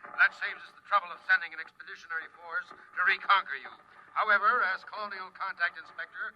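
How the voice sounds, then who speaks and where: An actor playing a domineering, militaristic inspector from Earth over a radio link, curt and officious in a 1950s radio drama style. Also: male voice, high voice. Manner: imperious, curt, officious, commanding. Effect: radio transmission. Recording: dull old radio recording, clear static noise.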